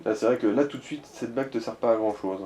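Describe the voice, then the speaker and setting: A man's voice: mid-range voice, quick pace, mellow. A man chatting casually as he advises another player through a video game, blunt and prone to swearing. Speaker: co-player advising on a let's play. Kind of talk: casual gaming chat.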